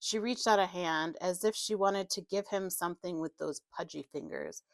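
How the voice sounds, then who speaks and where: Low-pitched woman narrating a dark novel. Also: normal speed, vocal fry, dry recording. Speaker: audiobook narrator. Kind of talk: dramatic scripted narration of a horror novel.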